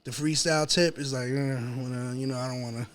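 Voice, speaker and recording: A male rapper with a mid-pitched voice. He speaks as a guest in a relaxed conversational style, informal and full of slang. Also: brisk. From New York City, Manhattan. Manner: slangy, informal, often swearing. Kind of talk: casual chat.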